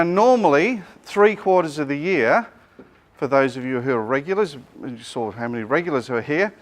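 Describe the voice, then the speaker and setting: A middle-aged Australian man, a business coach, running a joking, energetic seminar and quizzing his audience, speaking without a microphone to the room. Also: fairly high pitch. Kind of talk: lively workshop presentation to a live room.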